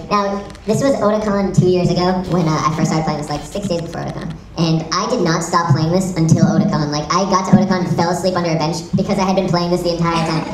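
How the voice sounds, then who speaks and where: A young woman presenting informally at a fan convention panel, rambling, with giggles and casual swearing. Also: fairly low pitch. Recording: echoey room, distant mic, audience noise. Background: audience.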